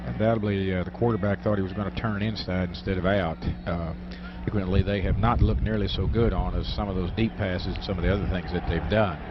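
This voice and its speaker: An American man with a fairly low voice gives color analysis during a live football game on the radio. He explains plays in a plain, folksy way. The sound is muffled and lo-fi. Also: steady tone, quick pace, over music. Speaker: color commentator. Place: stadium radio booth.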